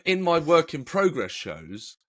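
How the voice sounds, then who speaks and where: A man in his thirties chats from home with a lively, swooping delivery, slightly high for a man. He pokes fun at himself and trips over his words as he restarts sentences. It is easygoing podcast banter. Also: measured pace. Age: 30s. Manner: self-deprecating, stammering restarts, lively. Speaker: comedian and co-host. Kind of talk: casual chat. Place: at home, joining remotely early morning.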